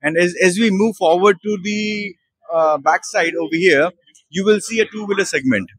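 Indian male exec, 30s, husky higher voice, hesitant product walkthrough at a busy expo stand. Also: moderate pace, fairly bright tone. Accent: Indian English. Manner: hesitant, with many fillers and restarts. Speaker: managing director at a trade show. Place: busy exhibition hall stall.

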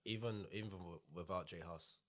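A man co-hosting a casual podcast, telling things in an animated, slangy way on a close microphone. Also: low-pitched, normal speed. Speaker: podcast co-host. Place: small indoor home-style podcast studio. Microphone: close boom-arm podcast microphone with headset.

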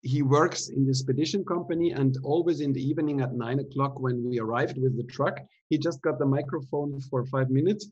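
Man giving a relaxed talk over a video call. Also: mid-range voice, a little fast, hardly any pauses, husky, dull audio. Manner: relaxed, explaining with many fillers. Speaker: theatre director giving an artist talk. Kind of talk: casual online talk. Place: indoor room at home. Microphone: laptop webcam video call.

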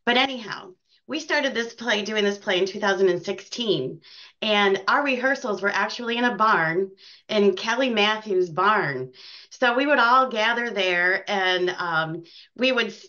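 A woman's voice: medium pitch, expressive, measured pace, bright, forceful tone, lo-fi sound. An American woman chatting casually as an interview guest about her work as a theater co-director.

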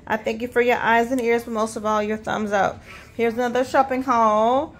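A middle-aged woman hosting a casual grocery haul vlog at her kitchen counter, talking fast and chatty with lots of ums while filming on a handheld phone. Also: fairly high pitch, little pitch movement. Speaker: host of a budget vlog. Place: indoors at a home kitchen counter. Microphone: handheld phone filming items.

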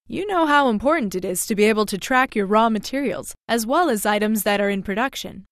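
A woman narrates a product demo from a script. Her voice sits a little high. The recording is close and dry, with very little room around the words. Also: no echo.

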